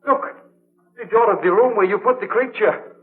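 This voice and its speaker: An actor playing a loyal, cautious and easily horrified friend, earnest and dramatic in 1930s radio style. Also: male voice, sits high, moderate pace, husky, phone-quality sound. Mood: shocked. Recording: vintage 1930s radio broadcast.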